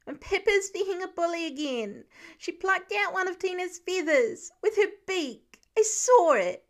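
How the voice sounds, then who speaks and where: A woman's voice: extremely high pitch, expressive, measured pace, voice pushed up. A woman voicing an upset, insistent little boy in a storybook reading, pleading earnestly. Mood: upset, indignant.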